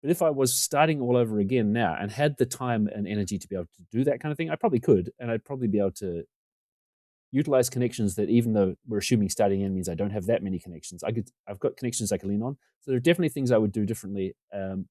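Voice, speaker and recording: A middle-aged New man talks fast and keeps rambling on, poking fun at himself. His voice sits low and mellow, with a dark, relaxed tone. It sounds dry and close, as on a remote call mic at home. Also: no room sound. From New Zealand. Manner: talkative, rambling, self-deprecating. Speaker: podcast guest. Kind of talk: casual conversation. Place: home room.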